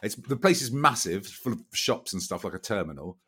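A man co-hosting a podcast in rambling, jokey chat, his voice a little croaky. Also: fairly low pitch, animated, brisk, fairly bright tone. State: slightly croaky voice. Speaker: podcast co-host. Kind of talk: casual comic chat.

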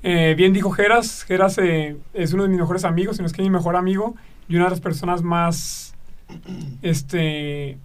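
A man's voice: high-pitched, fairly even intonation, normal speed, distant mic. A man in his twenties, a guest coach, talking earnestly on a casual podcast. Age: 20s.

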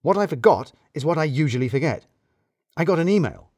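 A man performs an obsessive male office worker playing detective in an audio story. He is fretful and emphatic, with animated pitch. The sound is close and dry. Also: brisk, husky, no echo. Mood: fretful, explaining.

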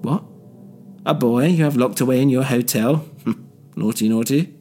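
A man's voice: medium pitch, wildly swooping pitch, quick pace, breathy edge, voice pushed up, exaggerated voice. A bewildered grown son who blurts out his disbelief in a strained, raised voice, as a male storyteller puts him on. He sounds thrown and sceptical. Faint music hums behind him. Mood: incredulous. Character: bewildered, blunt adult son, a sick man.

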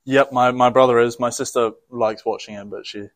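A young man answering interview questions in a relaxed, easygoing way, laughing now and then. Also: mid-range voice, a little fast, no room sound. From grew up in many countries. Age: young adult. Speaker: rugby player guest.

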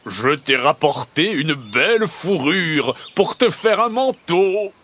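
A man's voice: on the high side, very animated, slow pace, a bit bright, slight background noise, voice pushed up, heavily acted. A man playing an easily vexed, grumbling peasant husband in a children's audio storybook. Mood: proud.

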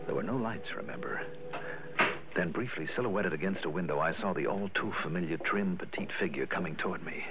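Through a narrow vintage radio sound comes a tough insurance investigator from a detective serial. His voice sits fairly low. He talks in clipped, wry, hard-boiled phrases. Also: animated, a little fast, noisy background. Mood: wry narration. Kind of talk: 1960s radio detective drama acting. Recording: tinny vintage radio broadcast, music.

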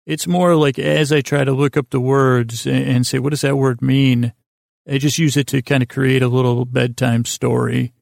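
An American man with a gravelly, creaky voice at a middling pitch, recorded close and dry. He hosts a bedtime podcast as a meandering monologue, halting, doubling back on his words and drifting off on tangents. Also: level tone, darkish tone, dry recording. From United States. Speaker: podcast host.

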